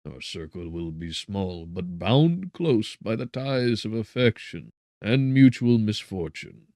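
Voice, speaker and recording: A man narrating a literary audiobook in a solemn, theatrical manner, with deliberate, emphatic phrasing. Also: low-pitched, vocal fry, darkish tone, dry recording, clean studio sound.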